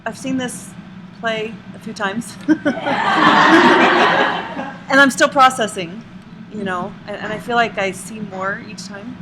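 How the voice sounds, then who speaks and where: A woman's voice: mid-range voice, slow pace, long pauses, heavy background noise. Earnest woman sharing her thoughts. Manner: earnest, rambling, many fillers. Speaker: audience member. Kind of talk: casual spoken reflection.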